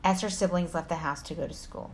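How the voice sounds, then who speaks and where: A woman's voice: fairly low pitch, fairly even intonation, fast talker, a little hiss or hum. A woman from Texas with an American accent, co-hosting a podcast, reading a story in an informal way with casual asides and stumbles. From Texas, United States. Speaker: true crime podcast co-host.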